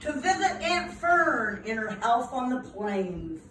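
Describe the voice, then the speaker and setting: Slow, high-voiced woman reading a storybook aloud. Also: big pitch swings, a bit bright. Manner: theatrical, emphatic, pausing to engage young listeners. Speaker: preschool owner reading at a children's storytime. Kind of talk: read-aloud picture book storytelling with asides to children. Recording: roomy, distant mic, faint noise.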